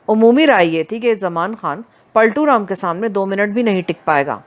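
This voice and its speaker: Woman narrating a crime audiobook. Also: medium pitch. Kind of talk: scripted narration of a crime novel.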